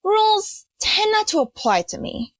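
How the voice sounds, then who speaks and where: A woman's voice: extremely high pitch, sing-song, measured pace, no echo, full character voice. A woman playing a haughty, dry-witted rich young student who scolds sardonically in an audio drama. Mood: dry, smug.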